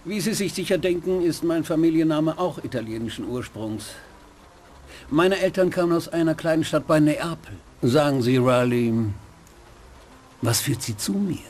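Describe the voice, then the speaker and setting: An actor playing a smug, worldly nightclub owner in a dubbed detective game, with a condescending, drawling manner. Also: male voice, a little high, normal speed, darkish tone, slight background noise, natural voice.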